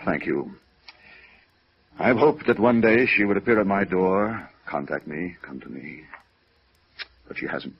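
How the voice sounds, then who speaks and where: A man playing a proud, lovelorn older gentleman in a 1950s radio drama, formal and defensive, with bitterness underneath. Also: low voice, lively intonation, unhurried, gravelly, long pauses, full character voice. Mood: wistful. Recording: muffled vintage radio recording.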